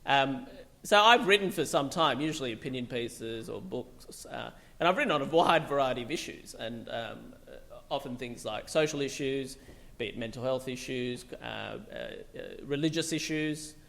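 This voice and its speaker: A middle-aged man from Bangladesh speaks in a mid-range voice with a gravelly, fry-laden edge. He talks to an audience in a loose, conversational way and often stops to restart with ums and uhs. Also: mid-range voice, lively intonation, moderate pace, pushed and bright. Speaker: psychiatrist giving a talk. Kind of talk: public talk.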